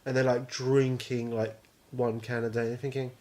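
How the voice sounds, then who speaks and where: A man's voice: mid-range voice, flat delivery, normal speed, a little mellow. A man in his twenties co-hosting a podcast, chatting casually, rambling and swearing offhandedly. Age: 20s. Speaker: podcast co-host. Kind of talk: casual chat.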